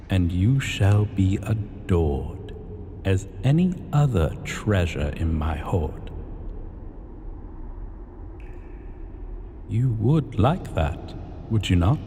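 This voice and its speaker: A man voicing an arrogant, possessive dragon king in a fantasy ASMR roleplay, speaking in pompous, deliberate, archaic formal phrases. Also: deep voice, mellow, heavily acted. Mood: amused, possessive. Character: arrogant, possessive male dragon king, ancient hoard-keeper. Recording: long cavernous reverb, faint noise.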